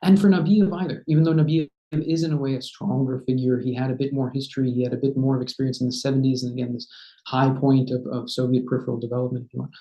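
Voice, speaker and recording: Historian, thirties, male: measured lecturer, mellow voice, dull roomy webcam sound. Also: medium pitch, flat delivery. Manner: measured lecturing with frequent "uh" and "right?". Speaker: historian, guest speaker. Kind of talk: academic talk answering a question. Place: home study with bookshelves. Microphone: laptop webcam on video call. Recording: dull, roomy video-call audio.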